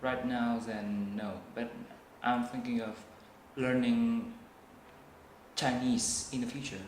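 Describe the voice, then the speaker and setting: Slow, hesitant Vietnamese-accented young man. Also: mid-range voice, level tone. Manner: hesitant, slow, many fillers and restarts. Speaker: student test taker. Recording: echoey room, faint noise.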